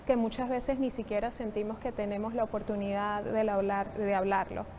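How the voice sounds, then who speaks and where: A woman in her thirties from Venezuela, a psychotherapist, answering interview questions in a reflective, measured way. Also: mid-range voice, little pitch movement, dark tone, a little hiss or hum. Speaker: psychotherapist guest.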